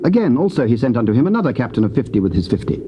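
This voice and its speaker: A man narrating scripture in a measured, stately manner. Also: medium pitch, very animated, mellow. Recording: dull tape with hiss.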